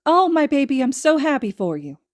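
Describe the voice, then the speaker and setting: A woman voicing a doting, anxious mother in a story reading, fussing and halting as she talks. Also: high voice, very animated, no room sound, clean studio sound, pitched above the performer's own voice. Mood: doting delight.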